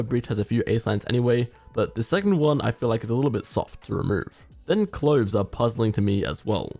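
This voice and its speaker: A man's voice: medium pitch, a little mellow, no echo. Man doing casual game commentary over music. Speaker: video commentator.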